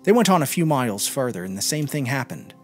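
A man's voice: medium pitch, sing-song, fast talker, soft background music. A man reading a literary short story aloud as a scripted narration. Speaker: podcast reader.

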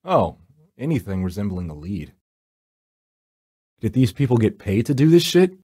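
A man's voice: fairly low pitch, very animated, moderate pace, mellow, husky, no echo. A man voicing a wry, secretive supernatural finder who narrates a horror visual novel with dry, theatrical sarcasm. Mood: dry, sardonic. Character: wry, secretive male hired finder from the edge of death. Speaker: narrator and lead character. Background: background music.

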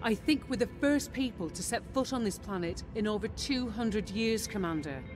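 Woman acting a measured, loyal military officer. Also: medium pitch, expressive, close to an everyday voice. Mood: intrigued. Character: steady, loyal female officer briefing her mech commander. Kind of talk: video game voice acting. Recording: music behind the voice.

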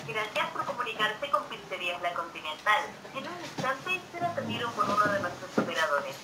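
Animated woman over a noisy phone speaker. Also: mid-range voice, fairly quick. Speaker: pizzeria phone line voice. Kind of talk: scripted customer-service announcement.

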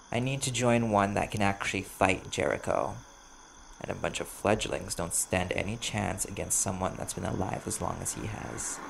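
A man playing a cautious, sardonic vampire bounty hunter in an audio roleplay, speaking in a gravelly voice with measured, dry delivery and pointed pauses. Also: lowish voice, background noise. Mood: guarded, serious. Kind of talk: audio roleplay, slow dramatic monologue to the listener.

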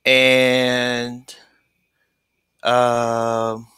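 A man's voice: medium pitch, completely flat delivery, extremely slow, pushed and bright, clean tone, no room sound. A man in his forties from San Diego, a college teacher, answering interview questions over a video call with a headset, hesitant with many fillers and small laughs. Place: home room indoors. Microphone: headset mic on video call.

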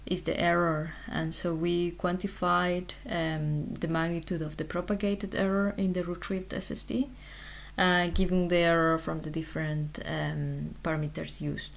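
Low-pitched woman giving a scientific talk. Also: little pitch movement, vocal fry. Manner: hesitant delivery with frequent pauses and ums. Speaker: research scientist presenting. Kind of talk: scientific conference presentation, explanatory.

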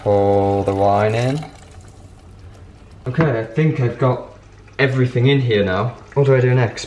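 A young man talks his way through cooking, bemused and mocking himself. His voice sits at a middle pitch and he is recorded on a camera in a home kitchen. Sizzling and stirring can be heard clearly behind him. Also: a little mellow, slight background noise. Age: young adult. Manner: bemused, self-mocking, chatty. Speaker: vlogger. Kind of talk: casual cooking vlog.

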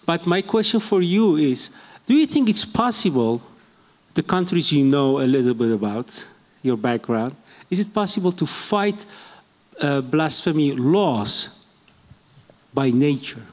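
A man's voice: fairly high pitch, expressive, unhurried. A man moderating a panel on stage, asking loose questions with many short acknowledgements. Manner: brief backchannels, loose questions. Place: theater stage before an audience. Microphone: handheld mic.

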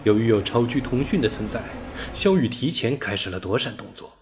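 A man reading a science fiction novel aloud as an audiobook narrator, steady and storytelling, lifting his voice on key words. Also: medium pitch, fairly quick, noisy background. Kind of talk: scripted sci-fi novel narration.

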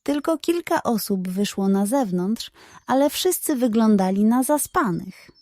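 A woman storyteller narrating a scripted children's bedtime tale in a gentle, expressive manner. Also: fairly high pitch, measured pace, no room sound, clean studio sound.

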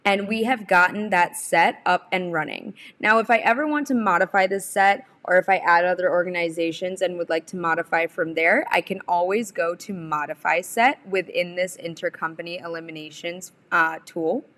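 Lower-pitched woman giving a software walkthrough. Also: steady tone, normal speed, hardly any pauses. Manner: step-by-step explanatory, informal. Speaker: software tutorial presenter. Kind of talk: instructional screen-share walkthrough.

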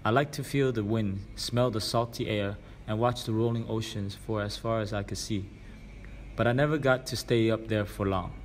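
A man's voice: fairly low pitch, steady tone, moderate pace, slight background noise. A man reading a children's storybook aloud as scripted narration. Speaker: reader.

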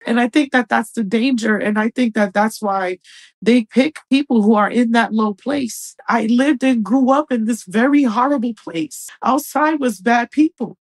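A woman's voice: a little high, moderate pace, breathy edge. Earnest woman giving personal testimony. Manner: earnest, emphatic, acts out remembered dialogue. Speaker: guest sharing personal testimony. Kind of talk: personal testimony, conversational storytelling. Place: small furnished room at home, indoors.

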